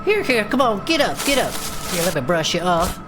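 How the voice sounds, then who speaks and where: A man playing a small, excitable, folksy adventurer and dramatic worrier in an audio drama. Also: sits high, normal speed. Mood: concerned, startled. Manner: folksy, exclamatory. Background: loud music.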